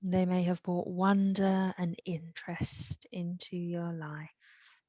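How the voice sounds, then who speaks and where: A woman with an English accent leading a guided meditation, soothing and unhurried, with long pauses. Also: on the low side, flat delivery, darkish tone, no echo.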